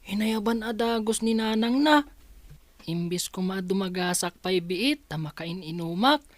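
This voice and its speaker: A woman's voice: medium pitch, animated, measured pace, dry recording, close to an everyday voice. A woman playing a playful, carefree village boy in a radio drama, innocent and dawdling, with an Ilocano accent. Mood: chatty. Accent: Ilocano Filipino. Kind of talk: Filipino radio drama acting.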